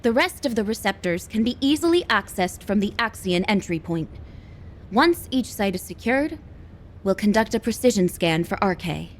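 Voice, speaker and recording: A woman's voice: medium pitch, big pitch swings, moderate pace, slight background noise, natural voice. An actress playing an earnest, dutiful woman officer who briefs the team in precise, formal language. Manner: precise and formal, stammers when flustered. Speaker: operations officer. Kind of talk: game cutscene voice acting.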